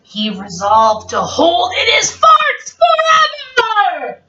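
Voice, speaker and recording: A woman reading a children's picture book aloud in a theatrical, expressive, playful way. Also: very high-pitched, slow pace, raised voice, very noisy. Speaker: read-aloud storyteller. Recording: roomy, dull lo-fi, distant mic.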